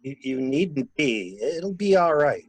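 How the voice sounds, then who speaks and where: A man playing a wry, skeptical, sardonic gentleman in an amateur murder mystery play. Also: fairly high pitch, very animated, slow, husky, full character voice. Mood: reassuring. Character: wry, skeptical man confiding with a troubled woman. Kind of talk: amateur radio-play acting.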